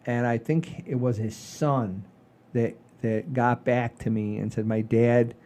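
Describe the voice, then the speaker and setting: A middle-aged or older man hosting a comedy show, telling stories casually in a rambling, animated way with salty language. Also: medium pitch, slow. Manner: rambling, animated storyteller with frequent swearing. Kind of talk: casual chat, storytelling.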